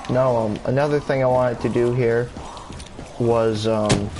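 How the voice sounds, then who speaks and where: A young man casually rambling in a gaming vlog, full of fillers, restarts and casual swearing, his voice jumping higher when he gets excited. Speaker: gaming YouTuber. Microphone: console camera mic. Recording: steady background noise.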